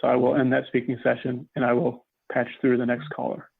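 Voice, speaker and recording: A man's voice: medium pitch, monotone, brisk, vocal fry, slightly rough. An American man moderating phone callers at a public meeting, talking in polite procedural language without a script. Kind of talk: polite procedural talk, unscripted. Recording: thin phone-like audio.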